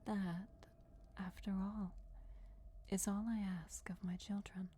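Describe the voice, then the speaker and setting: A woman voicing an ancient, regal and patient vampire deity in a soft, breathy whisper, formal and deliberate, for a fantasy ASMR roleplay. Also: medium pitch, flat delivery, close to an everyday voice. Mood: solemn, patient. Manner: slow, deliberate, formal, measured. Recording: clear background noise, crypt ambience. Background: crypt ambience.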